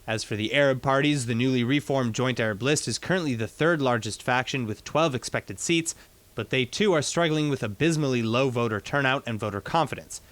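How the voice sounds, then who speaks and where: A man hosting a TV news broadcast from a studio, with a voice at an ordinary middle pitch. He reads prepared copy briskly and then turns to easy, casual questions for his guests. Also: a bit bright. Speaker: news anchor. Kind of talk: news reading and studio interview.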